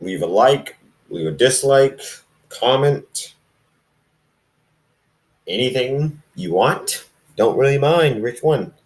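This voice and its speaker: A man reading amateur fan fiction and chatting to viewers in a halting, self-deprecating, rambling way. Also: medium pitch, animated. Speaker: fan fiction reader, video host. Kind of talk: amateur story reading and casual vlog chat. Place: dark room, in front of camera. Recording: roomy echo, distant mic.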